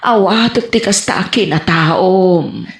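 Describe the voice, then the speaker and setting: A woman's voice: fairly low pitch, animated, takes it slowly. A woman with an Ilocano accent playing a tender, emotional mother in a radio comedy drama. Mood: tender, accepting. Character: tender, emotional, accepting mother of grown children. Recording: echoey room sound.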